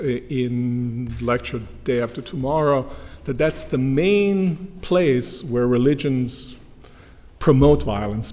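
A middle-aged man giving an academic lecture from a lectern, measured and emphatic. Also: medium pitch, a little mellow. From Croatia. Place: large lecture hall, standing at a lectern. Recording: long hall reverb from lectern mic.

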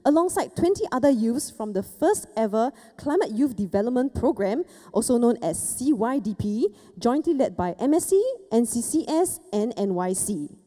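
A young woman stands on a large stage with a handheld mic and reads a prepared speech in a measured, formal way. Her voice sits a little high and has a mellow tone. Long hall reverb trails every phrase, with light music behind. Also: lively intonation. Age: young adult. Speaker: youth speaker at an event. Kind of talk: scripted speech read from notes.

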